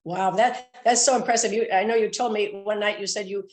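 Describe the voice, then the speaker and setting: A woman's voice: mid-range voice, fairly even intonation, a little fast, a little mellow. Woman hosting a local tv interview, friendly, laughs a lot. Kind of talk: community television interview.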